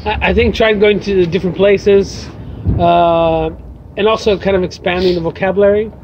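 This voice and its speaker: A man's voice: sits high, fairly even intonation, normal speed, muffled recording, noisy background. A man, a poet and teacher, answering interview questions outdoors by a bar, thoughtful and hesitant with many fillers. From Brazil. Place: outdoors by a bar in light rain.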